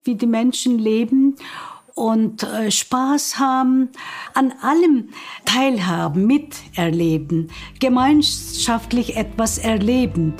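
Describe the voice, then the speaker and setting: An older woman in her seventies with a Turkish accent recalls her life. She speaks slowly and unhurriedly, stopping often to find her words. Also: on the high side, darkish tone, faint music behind. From Turkey. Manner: slow and thoughtful, with frequent hesitations. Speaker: interviewee. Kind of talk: personal recollection.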